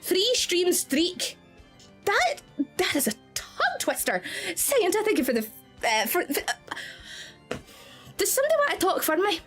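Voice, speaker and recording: A woman's voice: very high voice, huge pitch swings, unhurried, pauses often, a bit bright, faint music behind. A woman with a Scottish accent live-streaming from home, bubbly and giggly, gushing thanks to her viewers. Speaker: VTuber streamer. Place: indoor home streaming setup.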